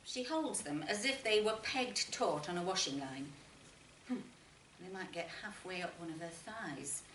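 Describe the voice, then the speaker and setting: A woman reading literary fiction aloud as an expressive narrator, with deliberate phrasing and dramatic pauses. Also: on the low side. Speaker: short story reader. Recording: roomy, distant mic, faint noise.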